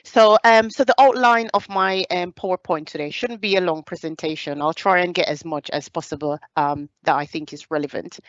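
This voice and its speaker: A Jamaican woman in her thirties, a soldier giving a hesitant presentation over a video call, pausing often on fillers. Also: low voice, dry recording, dull audio. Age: 30s. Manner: hesitant, many filler pauses. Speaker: serving army staff sergeant giving a talk.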